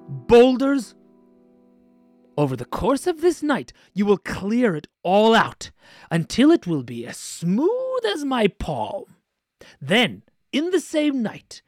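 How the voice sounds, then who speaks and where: A woman playing a slow, menacing king. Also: high voice, no room sound, pitched above the performer's own voice, exaggerated voice. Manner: slow, menacing, dropping to a whisper. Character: stern, stormy king of an underwater realm.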